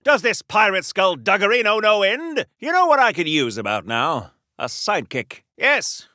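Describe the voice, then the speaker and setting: Hammy, high-pitched 1940s American hero. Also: male voice, very animated, moderate pace, pushed and bright, dry recording, clean studio sound, heavily acted. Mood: chatty reminiscing. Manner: hammy, chatty, old-fashioned wholesome slang. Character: brash, rambling old-time American soldier-hero parody. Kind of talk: 1940s radio-serial style video game voice acting.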